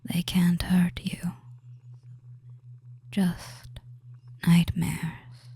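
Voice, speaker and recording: A performer voicing a possessive, doting witch in a whispered hypnosis roleplay, lulling and controlling, with deliberate pauses and a breathy delivery. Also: medium pitch, monotone, warm tone, heavily acted. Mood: soothing, controlling.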